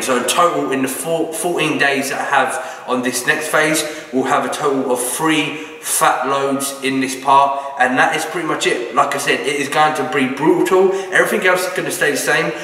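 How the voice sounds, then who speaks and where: A British man with a croaky, just-woken voice, talking casually to camera about his training and diet in a brisk, matter-of-fact way. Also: mid-range voice, pushed and bright. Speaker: bodybuilding vlogger. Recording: echoey room, from a distance.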